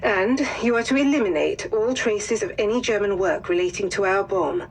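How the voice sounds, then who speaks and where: An actress playing a formal wartime intelligence officer, delivering a measured, precise mission briefing. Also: female voice, medium pitch, slight background noise, lightly acted. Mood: formal, grave. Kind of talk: scripted mission briefing narration.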